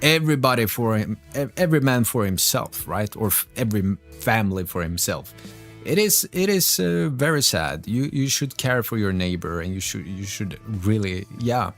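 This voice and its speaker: A man's voice: on the low side, expressive, normal speed, soft background music. A man from Greece and Sweden hosting a live stream, talking casually and earnestly about current events in English. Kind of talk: casual live political commentary.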